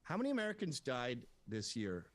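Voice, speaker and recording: A man in a heated online debate, combative, crude and quick to interrupt. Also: medium pitch, huge pitch swings. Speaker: debate guest.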